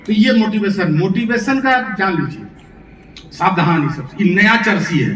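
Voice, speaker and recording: A man's voice: sits high, lively intonation, normal speed, fairly bright tone. A man with a Bihari accent, a stage poet who mixes mocking stories with chanted verse. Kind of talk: comic storytelling and poetry recitation. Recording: echoey hall, voice from far off.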